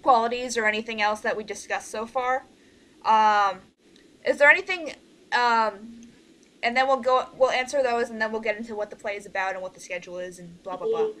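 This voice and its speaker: High-voiced woman, informal and halting. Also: a bit bright, poor audio. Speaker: producer leading an online meeting. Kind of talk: casual group meeting talk. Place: online group voice call.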